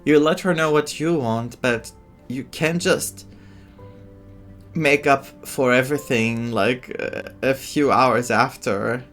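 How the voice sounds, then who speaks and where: A woman's voice: a bass voice, takes it slowly. A woman giving casual commentary as a game streamer playing through a story. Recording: faint game music behind.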